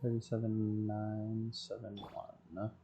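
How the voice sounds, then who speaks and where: A man's voice: lowish voice, monotone, slow pace, warm tone, faint music behind. A man streaming a video game, casually muttering and thinking aloud as he plays. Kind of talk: casual gameplay commentary.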